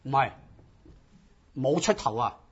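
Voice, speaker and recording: A man's voice: mid-range voice, big pitch swings, measured pace, breathy edge. A man preaching in short phrases, exhorting listeners with rhetorical questions. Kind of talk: sermon in short phrases with pauses for interpretation. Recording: dull, lo-fi audio.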